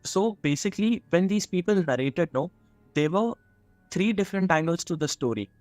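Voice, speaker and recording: A man's voice: high voice, lively intonation, moderate pace, a little mellow, no room sound, faint music behind. A man with an Indian accent telling a story in casual conversation, repeating phrases as he goes. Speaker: storyteller on a radio horror show.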